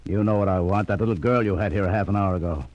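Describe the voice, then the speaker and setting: An actor playing a gruff, suspicious police lieutenant with a brusque, bossy, interrogating manner in 1940s radio style. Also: male voice, low voice, fast talker, darkish tone, dry recording, close to an everyday voice. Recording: dull vintage radio recording.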